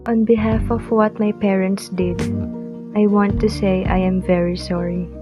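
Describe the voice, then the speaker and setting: A woman's voice: mid-range voice, steady tone, a little slow, natural voice. A woman playing an earnest, apologetic wealthy young woman in an amateur radio drama. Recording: dull lo-fi, guitar music behind.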